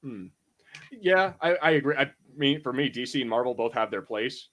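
A man's voice: medium pitch, moderate pace, fairly bright tone. A man hosting a podcast in a casual, informal, slangy chat style. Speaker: podcast host.

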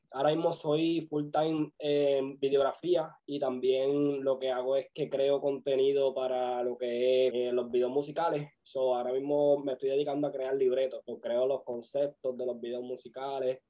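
A man's voice: mid-range voice, flat delivery, normal speed. Puerto Rican man in his twenties, slightly muffled. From Puerto Rico. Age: 20s. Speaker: podcast guest, music videographer. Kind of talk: casual interview chat.

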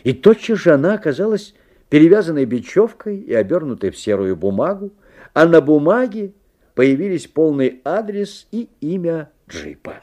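An actor playing an anxious, watchful father who narrates a strange tale in a 1970s radio play, expressive and dramatic. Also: fairly high pitch, normal speed. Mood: uneasy fascination.

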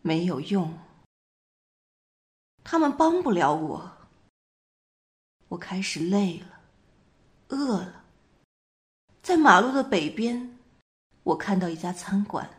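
Woman acting a troubled wife, low-voiced and deliberate. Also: sing-song. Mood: weary, lost. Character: troubled half-French wife recounting her recurring dream. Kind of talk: amateur radio play monologue, slow and reflective.

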